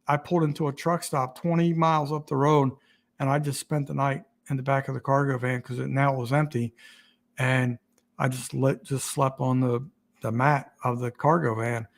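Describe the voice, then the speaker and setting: A man hosting a casual livestream, rambling and halting with many restarts, his voice getting raspy. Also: medium pitch. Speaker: YouTube channel host.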